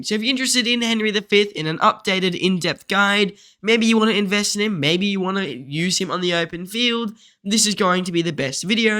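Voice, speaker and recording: A man's voice: high-pitched, sharp tone. A man with a blocked nose and a nasal, congested voice, steadily and methodically explaining a game guide in a voice-over.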